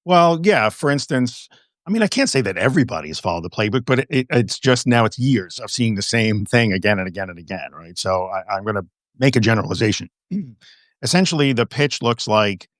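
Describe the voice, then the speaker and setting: A man's voice: lowish voice, big pitch swings, fairly quick, dry recording. Frank, emphatic man in a dry room. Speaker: therapist and guest. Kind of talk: conversational interview.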